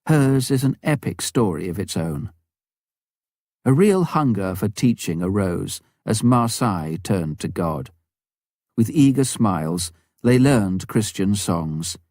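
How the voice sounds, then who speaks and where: A man reading a missionary story as an audiobook narrator, with a measured storytelling delivery. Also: fairly low pitch, mellow, no room sound, studio quality.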